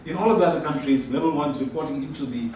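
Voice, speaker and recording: A man's voice: on the high side, very fast, darkish tone, slight background noise. Man, from South Africa, conference presenter, conversational, repeats words, distant mic, very echoey room. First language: Tamil. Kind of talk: presentation with audience questions.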